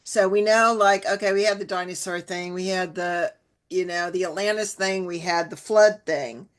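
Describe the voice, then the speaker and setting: A woman's voice: on the low side, fairly even intonation, moderate pace. A woman hosting an interview, asking long, winding questions full of "like" and restarts. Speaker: podcast host.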